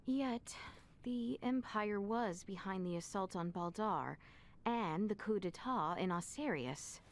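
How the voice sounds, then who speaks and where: A woman playing a poised, dutiful young princess in a video game, speaking with refined, measured politeness. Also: medium pitch, expressive. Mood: serious, guarded. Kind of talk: video game cutscene acting. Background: music.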